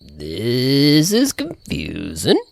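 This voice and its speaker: A man's voice: medium pitch, wildly swooping pitch, very slow, gravelly, full character voice. A man playing a confused, kindhearted, folksy small-town character in an audio drama, with a hesitant manner. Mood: puzzled. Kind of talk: scripted audio drama acting.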